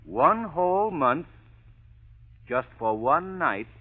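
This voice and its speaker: An actor in a 1940s radio drama playing a suave, glib amateur sleuth with a smooth, teasing manner, on a lo-fi old broadcast. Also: male voice, fairly high pitch, sing-song, slow, heavily acted. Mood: coaxing.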